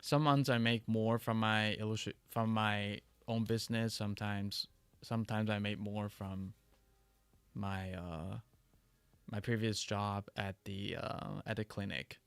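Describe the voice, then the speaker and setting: Gravelly-voiced man chatting on a livestream. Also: on the low side, fairly even intonation, fairly bright tone, no room sound. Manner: halting, many restarts and fillers. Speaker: livestream host. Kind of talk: casual livestream chat answering viewer questions.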